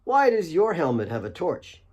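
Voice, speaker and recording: A man's voice: a little high, wildly swooping pitch, normal speed, a little mellow, breathy edge, voice pushed up, full character voice. A big young mine boss in an audiobook, read by a man. He is a confident, stern man with a blunt, challenging delivery and a sardonic edge. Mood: stern grilling. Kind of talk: audiobook character dialogue.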